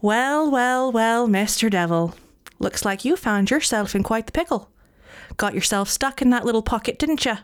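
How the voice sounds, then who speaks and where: A smug, fast-talking trickster man, put on by a woman storyteller, coaxing and goading with a slippery, self-pleased charm. His voice sits higher than her own, sometimes dropping to a sly whisper. It is a close, dry storybook reading. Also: lively intonation, dry recording, close to an everyday voice. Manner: wheedling, goading. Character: sly silver-tongued male trickster, smug.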